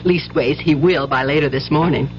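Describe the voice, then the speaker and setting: A woman's voice: deep voice, normal speed, over music, lightly acted. An actress playing a weary, hard-working, plain-spoken mother with a folksy manner in old-time radio drama. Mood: folksy, affectionate. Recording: dull, noisy old radio recording.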